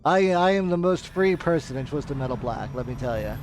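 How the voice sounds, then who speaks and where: A man's voice: medium pitch, animated, a little fast, noisy background. A man doing casual, playful watchalong commentary as a streamer, exclaiming and swearing freely. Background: music and game cutscene audio.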